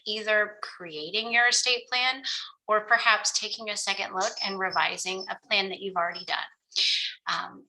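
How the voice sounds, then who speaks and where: A woman's voice: medium pitch, sharp tone, poor audio. A woman from Florida, an estate planning lawyer earnestly explaining a webinar with frequent ums. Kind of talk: webinar presentation.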